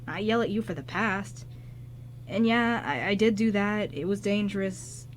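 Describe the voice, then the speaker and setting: A woman's voice: mid-range voice, moderate pace, slight background noise, natural voice. A woman playing a flustered, kind-hearted male ghost who stammers and rambles in an amateur radio drama. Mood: earnest.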